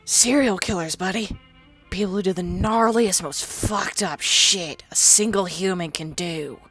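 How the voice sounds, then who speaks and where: A woman's voice: fairly low pitch, measured pace, a bit bright, soft background music. A woman voicing a sardonic, crude young female ghoul, a former psychology student, in a game dialogue. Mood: wry. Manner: blunt, crude and defensive. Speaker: companion character. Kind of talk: fan-voiced game dialogue.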